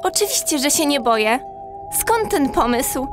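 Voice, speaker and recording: A young giraffe girl in a children's audio play. She is very high-pitched and nervous, defensively putting on courage. Music runs clearly behind her. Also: very animated, unhurried. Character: young girl giraffe pupil, timid but pretending bravery.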